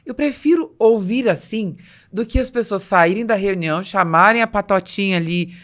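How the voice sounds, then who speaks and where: A woman's voice: medium pitch, normal speed. A Brazilian woman hosting a conversational interview, explaining things assertively and acting out quoted remarks. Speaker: podcast host.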